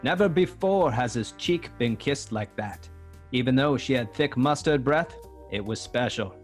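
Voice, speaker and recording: A man's voice: mid-range voice, animated, unhurried. Male mock-noir narrator over music.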